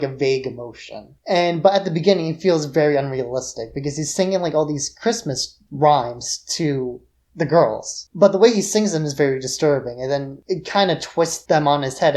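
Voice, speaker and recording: A woman with a low-pitched voice chats as a podcast co-host. She discusses films in a casual, conversational style. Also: darkish tone.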